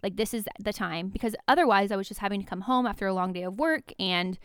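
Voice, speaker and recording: A woman's voice: mid-range voice, fast talker, fairly bright tone, clean tone, no room sound. A woman, chatting as a podcast guest in a bubbly, storytelling way, close to the mic. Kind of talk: casual chat. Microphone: close studio mic.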